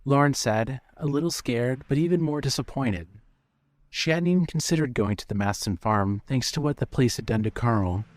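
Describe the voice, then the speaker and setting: A man's voice: mid-range voice, normal speed, dry recording, studio quality. A man narrating a scripted fiction story in the third person. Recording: clearly noisy background.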